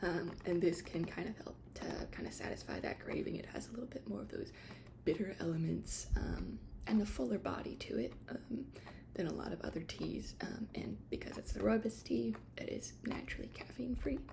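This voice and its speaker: A woman doing a whispered ASMR shop roleplay as an attendant, explaining things gently in a hesitant, rambling way. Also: mid-range voice, a little fast, vocal fry.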